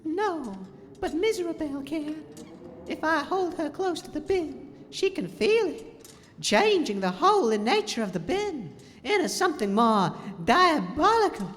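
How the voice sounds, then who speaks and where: Woman as high-voiced, dotty psychic wife. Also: sing-song, unhurried, in a large hall, slight background noise. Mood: mysterious. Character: dotty, adoring female psychic assistant wife. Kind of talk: comic audio drama acting.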